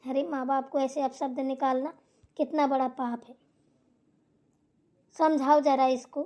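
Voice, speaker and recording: A woman's voice: sits high, flat delivery, measured pace. A woman voicing a bossy, scolding elder sister-in-law in a Hindi family drama cartoon, hectoring and preachy. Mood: indignant complaining. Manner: hectoring, sharp-tongued, preachy.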